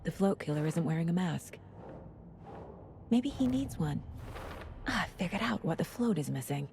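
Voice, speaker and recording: An actress playing a determined FBI agent in a video game, thinking aloud in terse, low-key phrases. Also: female voice, expressive, moderate pace, creaky, noisy background. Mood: focused. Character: determined female FBI agent investigating eerie murders. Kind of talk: video game acting, muttered investigative monologue.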